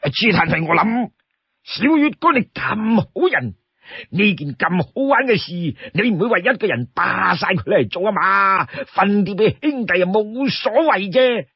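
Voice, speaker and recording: A man's voice: sits high, husky, no room sound, voice pushed up, full character voice. A man voicing a boisterous, wheedling outlaw warrior in a storybook tale, pleading and exclaiming as he talks. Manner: pleading, exclamatory, stammers when cornered. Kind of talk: Cantonese storytelling character voice. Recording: dull, close dry studio sound.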